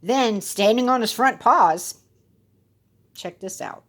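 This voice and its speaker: A woman's voice: fairly high pitch, slow, fairly bright tone. A woman telling a children's fairy tale expressively, with dramatic and playful emphasis. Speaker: storyteller.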